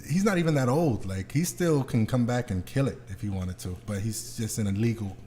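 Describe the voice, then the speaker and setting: A man's voice: fairly low pitch, fairly quick, warm tone. Blunt man chatting over background noise. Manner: blunt, swearing, opinionated. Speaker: podcast host. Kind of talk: casual group podcast chat.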